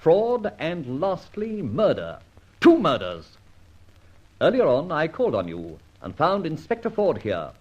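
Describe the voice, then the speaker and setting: A husky, dashing masked vigilante in a vintage radio detective serial. He is sardonic and commanding, with theatrical, widely swooping delivery. The old recording sounds dull. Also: a little high, huge pitch swings, a little slow, a little mellow, heavily acted. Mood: accusing, commanding. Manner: theatrical, clipped, declamatory. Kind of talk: old-time radio drama acting.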